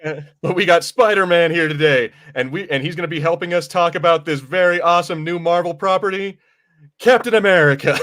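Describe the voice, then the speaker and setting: A man's voice: on the high side, a little fast, fairly bright tone. A man hosting a podcast in casual chat, talking in an informal, rambling, self-correcting way. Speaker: podcast host.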